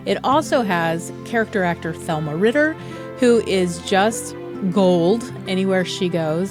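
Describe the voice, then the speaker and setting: A woman hosting a podcast, talking about films in a conversational, emphatic way. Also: fairly low pitch. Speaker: podcast host. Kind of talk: film discussion and recommendations.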